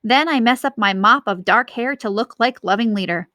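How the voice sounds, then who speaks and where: Woman, storybook narration, expressive, fairly high pitch, bright tone, close dry sound. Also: moderate pace, dry recording. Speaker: teacher reading aloud.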